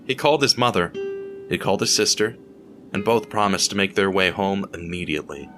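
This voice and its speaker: A man narrating a scripted family drama in a measured storytelling manner. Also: sits low, music in the background.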